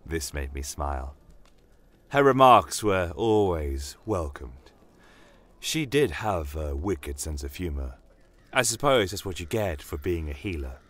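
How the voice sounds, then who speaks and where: A man playing a stern, proud commander of a fantasy empire, telling his own tale in a measured, formal, brooding manner. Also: low-pitched, very animated, fairly bright tone, exaggerated voice. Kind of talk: first-person fantasy audio storytelling.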